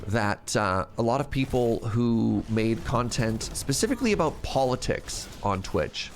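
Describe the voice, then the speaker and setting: A man's voice: mid-range voice, expressive, measured pace, faint music behind. A man streaming a game, chatting casually and rambling between laughs, sounding sniffly and congested. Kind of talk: casual live-stream chat while gaming.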